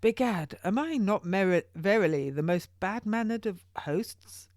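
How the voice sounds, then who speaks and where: A woman's voice: medium pitch, animated, measured pace, darkish tone, dry recording, studio quality, pitched above the performer's own voice, natural voice. A woman voicing a theatrical, pompous king of England who speaks in grand, exclamatory, archaic courtly phrases in an audiobook. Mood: astonished.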